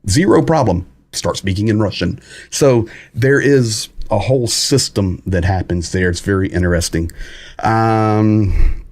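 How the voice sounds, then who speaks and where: Man, fairly low voice, live-stream host, casual commentary, halting phrasing, many pauses. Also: a little mellow. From United States. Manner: greets viewers and reads chat comments aloud.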